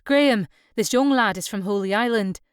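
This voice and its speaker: A woman's voice: mid-range voice, normal speed, clear, resonant tone, no room sound, clean studio sound, natural voice. A woman voicing a genial, hospitable male pub landlord with a hearty, matter-of-fact manner, in an audiobook. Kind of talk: audiobook character dialogue.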